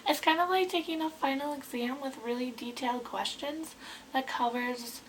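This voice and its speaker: A woman in her twenties with a hoarse, sick voice, chatting casually to camera in a rambling way with lots of ums. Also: high voice, moderate pace, a bit bright, slight background noise, low-quality recording. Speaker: beauty vlogger.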